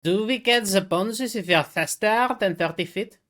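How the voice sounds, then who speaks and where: A woman's voice: medium pitch, normal speed, a bit bright. A woman playing in an online tabletop game, asking questions about the rules and dropping in French words. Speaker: player. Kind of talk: casual game chat.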